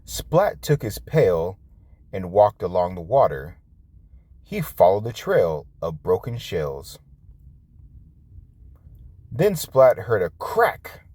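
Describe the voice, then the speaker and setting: A man reads a picture book aloud for young children. His voice sits fairly low and moves in an animated way, with a very slow, drawn-out pace. The delivery is expressive and clearly aimed at storytime. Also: no room sound. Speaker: storybook reader, host.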